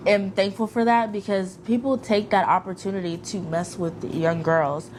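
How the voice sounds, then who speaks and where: A woman's voice: medium pitch, steady tone, moderate pace, darkish tone, a little hiss or hum. A woman co-hosting a casual chat podcast, blunt and emphatic, swearing freely. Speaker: podcast co-host.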